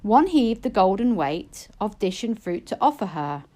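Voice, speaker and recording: A woman reading narrative poetry expressively, in a measured storytelling manner. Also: mid-range voice, big pitch swings, mellow. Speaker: poetry reader.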